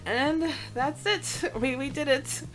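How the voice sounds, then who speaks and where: A woman's voice: sits high, expressive, takes it slowly, fairly bright tone, soft background music, rough recording. A woman co-hosting a podcast, chatting casually and reading comments aloud in a giggly, enthusiastic manner. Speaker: podcast co-host.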